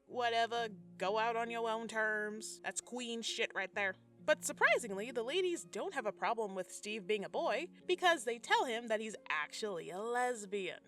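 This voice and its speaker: Female commentator, sassy and conversational, bright forceful voice, lively intonation. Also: on the high side, normal speed, hardly any pauses. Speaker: video essay narrator. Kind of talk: scripted commentary with slangy asides. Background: background music.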